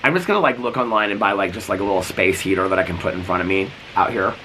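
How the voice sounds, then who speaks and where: A middle-aged man sits outside and chats into his vlog, rambling with many "like"s and restarts. He is sick, with a cough and a fading voice, yet his tone stays bright and forceful. Faint background noise sits under the talk. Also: low-pitched, rapid-fire, rough recording. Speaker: vlogger. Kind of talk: casual vlog chat. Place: sitting outdoors.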